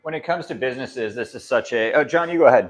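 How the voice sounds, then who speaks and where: A loud, talkative man hosting the event and opening the discussion to the audience. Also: mid-range voice, quick pace. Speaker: event host. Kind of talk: moderating a live Q&A.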